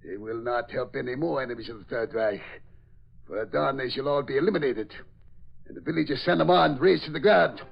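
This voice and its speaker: Menacing, gravelly Nazi captain. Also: male voice, mid-range voice, brisk. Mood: coldly ruthless. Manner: barking, contemptuous. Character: menacing Nazi German captain, contemptuous and cruel. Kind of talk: 1940s radio acting. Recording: muffled old radio recording.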